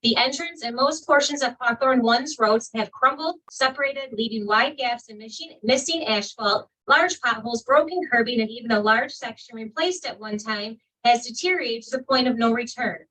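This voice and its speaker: A woman on a village council reading residents' letters into the record, in a loud, clear voice at a public meeting. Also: fairly high pitch, normal speed, few pauses. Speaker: council member. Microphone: distant from the microphone. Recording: speaker far from the mic.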